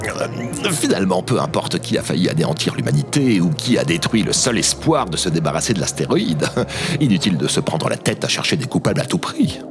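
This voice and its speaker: Man playing sheepish ex-president, lowish animated voice. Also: brisk, music in the background. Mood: nervously affable. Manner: hesitant, rambling, obliging. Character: sheepish, evasive disgraced former president. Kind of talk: comedic audio drama acting.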